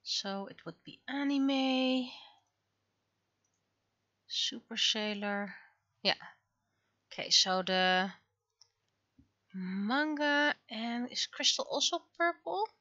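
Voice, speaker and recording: A woman's voice: on the high side, animated, drawn-out words, pauses often, sharp tone, lo-fi sound. A woman chatting casually on a livestream as she works, thinking aloud to herself in short fragments. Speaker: livestreaming artist. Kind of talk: casual livestream chat while drawing.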